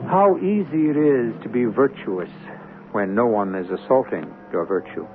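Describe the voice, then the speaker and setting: A man's voice: mid-range voice, animated. Musing male radio-drama host over music. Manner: rhetorical, musing, deliberate. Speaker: host and narrator. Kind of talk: theatrical scripted radio drama introduction. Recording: narrow-band old radio broadcast.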